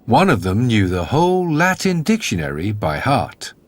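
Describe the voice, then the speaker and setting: A man's voice: mid-range voice, wildly swooping pitch, normal speed, soft background music. A man narrating a scripted fairy tale as a storyteller.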